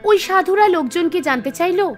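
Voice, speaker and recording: A woman narrates a scripted devotional story in a high-pitched voice. Soft background music runs under her storytelling. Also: expressive, moderate pace.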